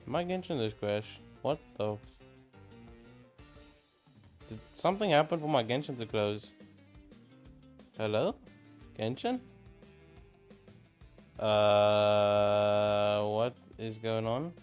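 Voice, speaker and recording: A man's voice: fairly low pitch, takes it slowly, pauses often, faint music behind. A man doing casual, slangy gameplay commentary, reacting off the cuff as a streamer. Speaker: gaming streamer.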